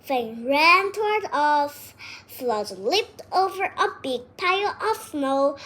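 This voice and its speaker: A child reading a detective story aloud word by word, with halting breaks between phrases. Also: sits high, sing-song, pushed and bright.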